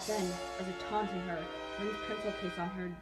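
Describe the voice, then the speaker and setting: A woman's voice: mid-range voice, level tone, moderate pace. Young woman reading with music behind. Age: young adult. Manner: self-mocking, breaks into asides and laughter. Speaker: host reading own old fanfiction. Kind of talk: dramatic reading with amused self-commentary.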